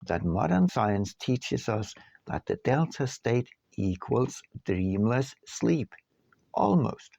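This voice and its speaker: A man narrating an audiobook from a script in a measured, deliberate way with emphatic phrasing. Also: mid-range voice, creaky, warm tone, no echo, dull audio. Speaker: author reading his own book.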